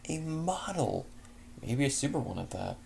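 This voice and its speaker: A man reading a fanfiction story aloud in a halting way, restarting words, then chatting casually to listeners. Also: medium pitch, sing-song, creaky, dark tone, a little hiss or hum. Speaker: fanfiction reader.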